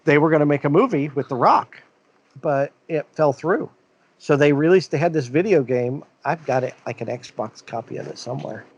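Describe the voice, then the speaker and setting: A man's voice: a little high, lively intonation, moderate pace, breathy edge. A man co-hosting a casual podcast, chatting and telling long, loose stories. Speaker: podcast co-host. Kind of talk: casual chat. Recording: dull lo-fi mic.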